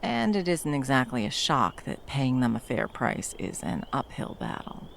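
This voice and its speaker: Casting: actress as battle-worn female monster hunter, tender and teasing, very expressive pitch, fry-edged, intimate ASMR roleplay, faint background noise. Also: exaggerated voice. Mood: caring, relaxed. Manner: soft teasing, slow, breathy drops into whispers. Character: battle-worn woman monster hunter, gruff but tenderly protective lover.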